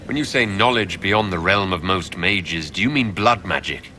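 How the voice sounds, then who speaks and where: An actor playing a composed, authoritative commander of a fantasy order in a video game. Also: male voice, deep voice, normal speed, pushed and bright, slight background noise. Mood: businesslike.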